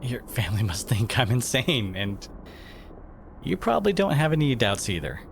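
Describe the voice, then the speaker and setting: A man playing a devoted, protective boyfriend in a dramatic roleplay audio, speaking emphatically with sarcastic edges and dramatic pauses. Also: medium pitch, sing-song, creaky, a little hiss or hum. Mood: apologetic, upset.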